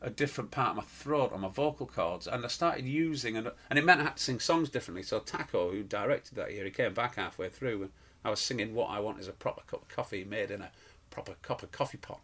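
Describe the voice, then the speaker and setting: A man's voice: on the low side, expressive, fast talker, few pauses, fairly bright tone. A middle-aged man, a pantomime performer telling stories in an interview, rambling with fillers and restarts. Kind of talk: casual interview chat.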